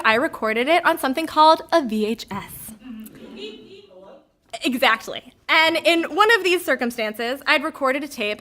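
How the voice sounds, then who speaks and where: A woman in her thirties with a high, bright voice performs a comic personal confession. She is candid and wry, delivering it as a storyteller and slipping into the lines she quotes.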